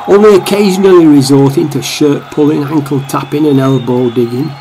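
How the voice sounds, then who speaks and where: Male narrator for radio play: actor as devoted, wry football fan, gravelly voice, slight background noise. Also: on the high side, a little fast, warm tone, natural voice, poor audio. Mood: dry commentary. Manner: wry, commentary-like storytelling.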